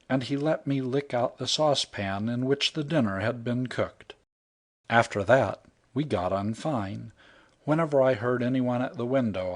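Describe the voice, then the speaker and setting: A man narrating a humorous short story in the first person, wry and even. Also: mid-range voice. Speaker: audiobook narrator. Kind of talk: scripted narration.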